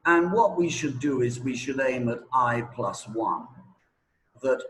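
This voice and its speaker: Slow-talking opinionated man in an interview. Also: medium pitch, animated, a bit bright, poor audio. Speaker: English teaching expert being interviewed. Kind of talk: conversational interview answers.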